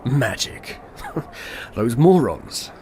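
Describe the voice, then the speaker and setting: Radio drama villain, male actor: unhurried apocalyptic being, condescending menace, noisy ambient recording. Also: medium pitch, sing-song, darkish tone. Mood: musing, mocking. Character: calm, smug destroyer demon, world-ending evil being. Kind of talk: old-school radio drama acting.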